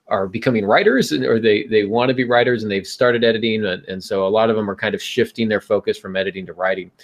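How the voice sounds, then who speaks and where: Man, low gravelly voice, podcast host, casual talk, advising listeners. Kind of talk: casual podcast talk giving writing advice.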